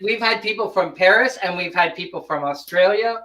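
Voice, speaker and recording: A woman's voice: lowish voice, moderate pace, crowd noise. A woman hosting a casual live online show over a video call, rambling and stumbling a little over words. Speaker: host of an online social show. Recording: distant, faintly noisy video call.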